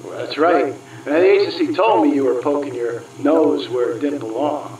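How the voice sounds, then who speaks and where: Echoey, cocky American spy ghost played by an actor. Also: male voice, fairly high pitch, moderate pace, darkish tone, close to an everyday voice. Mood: teasing. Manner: teasing, drawling. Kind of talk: radio play acting. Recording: echoey, distant, slightly noisy recording.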